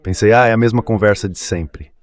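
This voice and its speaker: A synthetic man's voice, pitched low, gives political commentary. It sounds like a translated voice-over laid in for a commentator. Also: fairly quick. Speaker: political commentator in a played clip.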